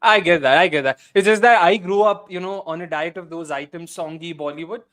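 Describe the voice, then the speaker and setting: A man's voice: high voice, brisk, fairly bright tone. A man in his late twenties chatting casually as a guest, self-mocking and often repeating his words. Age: 20s. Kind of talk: casual chat.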